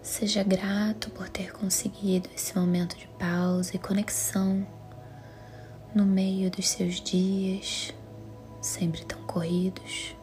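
Female Brazilian meditation guide. Soft, breathy, even delivery with very little pitch movement, soothing and measured, music underneath. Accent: Brazilian Portuguese.

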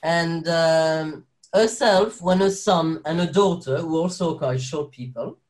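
A man's voice: fairly high pitch, unhurried. A young man with a French accent, a guest actor chatting in an interview, rambling with restarts and frequent laughs. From Belgium. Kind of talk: casual interview chat.

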